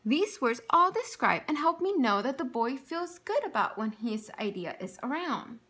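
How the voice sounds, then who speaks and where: This is a woman with a bright, somewhat high voice and a very lively, sing-song pitch. She reads a storybook aloud as a teacher. She tells it expressively and keeps turning to her young listeners with gentle questions. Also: moderate pace. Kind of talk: reading a picture book aloud to children.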